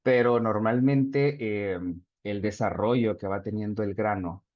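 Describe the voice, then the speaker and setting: A man's voice: on the low side, fairly even intonation, unhurried, no echo. Man teaching an online class, dry lo-fi sound. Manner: explanatory, reads chat answers aloud. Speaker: instructor. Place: video call.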